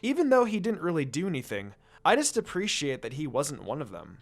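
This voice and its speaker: A man's voice: on the high side, huge pitch swings, a little fast, heavily acted. A man voicing a passionate, hot-tempered young ninja girl with a crush, in an emphatic, gushing manner, for a story reading. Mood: wistful, fond. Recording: music under the voice.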